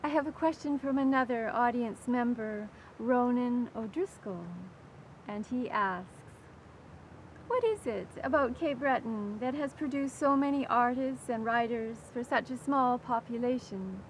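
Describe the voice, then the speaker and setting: Older woman interviewer, higher-pitched voice. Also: measured pace, rough recording. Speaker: interviewer, event host. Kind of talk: prepared literary interview questions, reading out audience questions. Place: outdoors in a rose garden. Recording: clear outdoor background noise.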